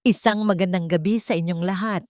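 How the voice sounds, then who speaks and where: A woman's voice: lowish voice, fairly even intonation, a little fast, darkish tone, no echo, clean studio sound, close to an everyday voice. A woman storyteller voicing an authoritative military general who makes formal, ceremonious announcements.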